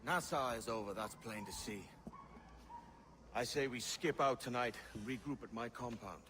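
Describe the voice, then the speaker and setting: A man's voice: medium pitch, normal speed, close to an everyday voice. A brash young pirate, voiced by a man, talks through his scheme with easy confidence in a mid-range voice. Faint ambient noise sits under the game cutscene. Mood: confident. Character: cocky young pirate captain, bold schemer.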